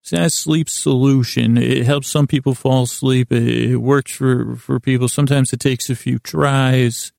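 A man's voice: mid-range voice, steady tone, moderate pace, creaky, hardly any pauses, darkish tone, no echo, studio quality. A man hosting a bedtime podcast in a rambling, soothing, self-deprecating way, stammering and repeating words as he goes. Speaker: podcast host.

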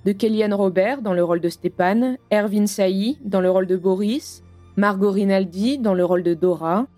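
A woman reading scripted closing credits as an announcer, in a measured, formal way. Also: mid-range voice, dark tone, no room sound. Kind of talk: scripted narration in French. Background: music.

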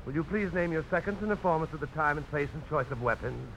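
A formal gentleman envoy in an old radio drama. He speaks quickly and courteously in a slightly high voice, over faint hiss. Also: animated, rough recording. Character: formal, brisk gentleman acting as duelling second. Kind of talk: 1950s radio drama acting. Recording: hissy old radio broadcast.

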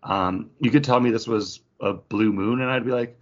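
A man's voice: fairly low pitch, moderate pace, highs cut off. A man co-hosting a podcast in casual chat, with a dry, teasing manner. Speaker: podcast co-host.

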